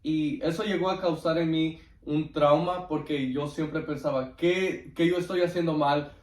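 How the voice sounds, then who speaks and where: Man, devout and halting testimony, slightly high voice, away from the mic. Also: steady tone. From Guatemala. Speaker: interview guest giving testimony. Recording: roomy echo, distant microphone.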